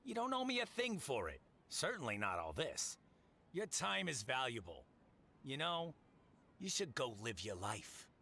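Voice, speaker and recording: An actor playing a gruff but kindly middle-aged merchant boss in a video game, blunt and fatherly. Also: mid-range voice, wildly swooping pitch, a little slow, sharp tone, full character voice. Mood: fatherly, reassuring.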